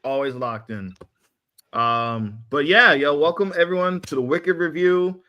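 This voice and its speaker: A man's voice: fairly high pitch, unhurried, pushed and bright. A man hosting a podcast in a casual group chat, talking in slangy, hype-man phrases. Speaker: podcast host.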